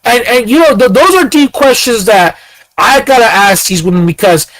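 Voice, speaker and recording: Man in his thirties, high voice, emphatic rant, rhetorical questions, dry close sound. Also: expressive, normal speed, fairly bright tone, no room sound, rough recording. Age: 30s. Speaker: commentator reacting to clips. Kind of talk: ranting commentary.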